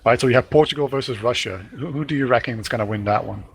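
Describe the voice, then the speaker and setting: A male esports caster with a mid-pitched voice and a faint hiss behind it. He talks easily and conversationally, breaking into laughter and throwing questions to his co-caster. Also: very fast, vocal fry. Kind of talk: casual live game commentary.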